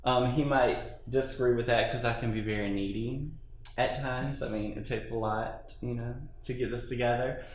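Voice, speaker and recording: A man's voice: mid-range voice, steady tone, moderate pace. A man in his thirties answering interview questions in a chatty, rambling way, often saying "you know". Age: 30s. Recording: echoey room with faint noise.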